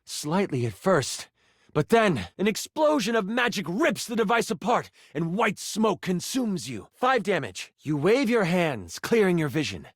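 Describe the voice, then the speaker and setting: A man playing a dramatic game master, narrating a fantasy adventure in a video game with suspenseful pauses. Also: sits high, big pitch swings, no echo, studio quality, exaggerated voice.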